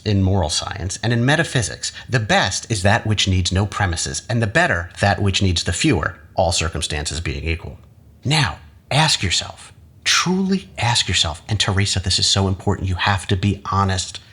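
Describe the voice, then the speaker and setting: An actor playing a manipulative academic husband in an audio drama, measured, coaxing and patronizing. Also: male voice, deep voice, lively intonation, a bit bright, husky, exaggerated voice. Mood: condescending, insistent. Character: manipulative husband, an academic doctor, calmly condescending and persuasive.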